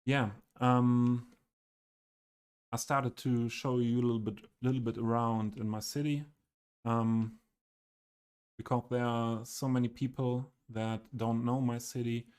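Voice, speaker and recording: A man's voice: medium pitch, level tone, a little mellow, clean tone. A man with a Western German accent, a native German speaker streaming live and talking through his city build, hesitating often and searching for words. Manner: halting, many ums, searching for words. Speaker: game streamer. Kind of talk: casual live stream commentary.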